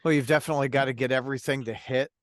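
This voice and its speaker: A man's voice: medium pitch, steady tone, racing. A man in his forties hosting a podcast over a remote call, laid-back and swearing constantly in casual interview chat. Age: 40s. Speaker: podcast host.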